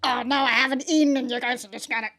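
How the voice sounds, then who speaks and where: A woman's voice: sits high, steady tone, fast talker, a bit bright. A woman giving casual reaction commentary, slangy and exclamatory, swearing freely and often breaking into giggles. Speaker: reaction video host.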